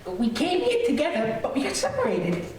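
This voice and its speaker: A woman's voice: high-pitched, wildly swooping pitch, normal speed, a little mellow. A woman playing an awkward, rambling teenage boy in old-time radio comedy style. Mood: worried, rambling. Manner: rambling, gee-whiz slang. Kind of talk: 1940s radio comedy acting. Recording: very echoey, distant, clear noise.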